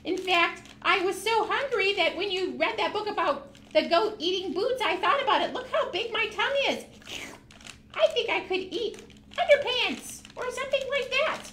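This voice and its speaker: High-pitched, eager little goat puppet. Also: female voice, moderate pace, roomy sound, a little hiss or hum. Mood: eager, hungry. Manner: childlike, excitable. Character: eager, hungry young female goat puppet. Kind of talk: puppet dialogue for children.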